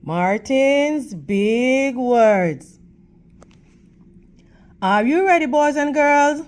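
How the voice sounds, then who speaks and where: A woman reading a picture book aloud to children in a teacherly manner, with deliberate, emphatic phrasing and questions for her young listeners. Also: sits high, lively intonation, a little mellow, clean tone. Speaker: read-aloud storytime host for children.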